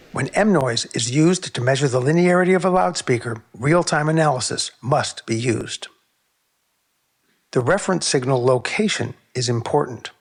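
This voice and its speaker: A man narrating a technical video on sound measurement in a measured, precise, explanatory way. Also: fairly high pitch.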